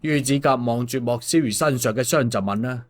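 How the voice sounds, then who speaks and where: A man's voice: medium pitch, fairly quick. A man narrating an audiobook in a colloquial storytelling style. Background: background music.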